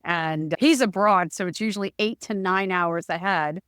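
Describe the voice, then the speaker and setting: A woman co-hosting a podcast, with a loud, booming voice and an energetic, jokey way of talking in casual chat. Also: moderate pace, clean tone, no room sound, studio quality. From Arizona, USA. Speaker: podcast co-host.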